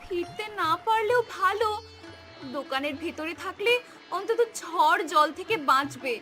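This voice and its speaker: A woman voicing a gentle, weary, self-sacrificing mother in a cartoon, soft and soothing. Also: very high-pitched, expressive, moderate pace, faint music behind, exaggerated voice. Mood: soothing, worried. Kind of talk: cartoon voice acting.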